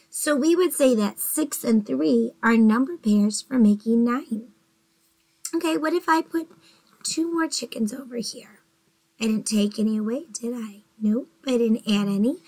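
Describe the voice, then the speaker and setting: A woman in her forties teaching a math lesson to young children from her bedroom, gentle and encouraging, often asking them questions. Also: on the high side, poor audio. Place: bedroom at home, indoors.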